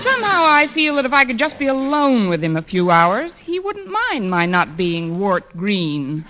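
Wry schoolteacher on noisy old radio. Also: female voice, fairly high pitch, wildly swooping pitch, normal speed. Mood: wistful, wry. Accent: American. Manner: dry, sardonic wisecracking. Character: wry, lovelorn adult female schoolteacher in a radio sitcom. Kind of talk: 1940s-50s radio comedy acting. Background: studio audience laughter.

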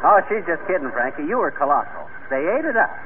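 An actor playing a blustery, fast-talking small-time showman in a radio comedy, bombastic and slangy, on a narrow, noisy vintage broadcast. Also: fairly high pitch, very animated. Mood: smooth flattery. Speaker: lead comic actor.

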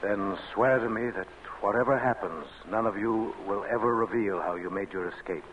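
An actor in an old-time radio drama playing a stern secret commander of prison camp escapes, grave and authoritative, on a hissy vintage recording. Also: male voice, on the low side, normal speed, fairly bright tone, highs cut off, natural voice. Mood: grave, quiet.

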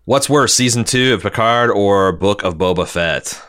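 Male podcast co-host, low-pitched but bright and punchy, casual chat and mail reading. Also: moderate pace. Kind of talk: casual chat and reading listener messages aloud.

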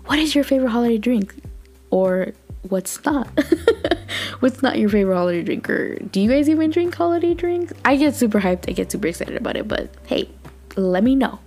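A young woman from Southern California hosting a podcast in casual, bubbly chat, her voice a little raspy from just waking up. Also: a little high, animated, fairly quick. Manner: chatty, bubbly, laughs often, quiet morning talk. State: slightly raspy morning voice. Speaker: podcast host.

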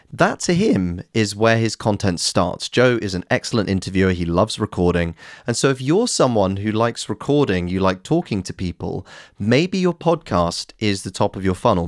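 Casting: male podcast host, lower register, lively melodic swings, instructive and direct, scripted solo delivery. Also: no room sound, clean studio sound. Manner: addresses listeners directly, explanatory, rhetorical questions.

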